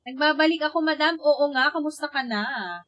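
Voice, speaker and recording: A woman's voice: sits high, brisk, a bit bright, dull audio. A woman with a Filipino accent giving chatty, reactive live gameplay commentary, switching casually between two languages. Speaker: game streamer. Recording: stream voice with game music behind. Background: game music.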